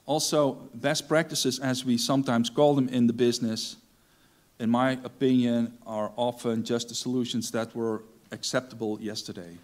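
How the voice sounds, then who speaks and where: A middle-aged man whose first language is Dutch gives a technical talk on a large conference stage, pausing often with ums and restarting sentences. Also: mid-range voice, steady tone. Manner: hesitant, many ums and restarts. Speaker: conference speaker.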